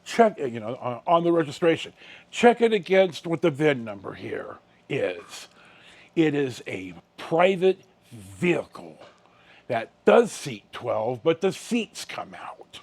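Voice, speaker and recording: Animated older American man telling stories. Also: on the high side. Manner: animated, halting and digressive, acts out dialogue. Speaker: vlogger telling personal stories. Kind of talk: casual rambling storytelling.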